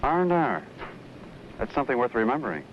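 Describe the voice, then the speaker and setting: A man's voice: medium pitch, very animated, fairly quick, lightly acted. A man playing an eager, modest trainee salesman in a training film, inquisitive and deferential. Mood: interested. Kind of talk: 1970 training film acting. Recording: muffled, hissy 16mm film soundtrack.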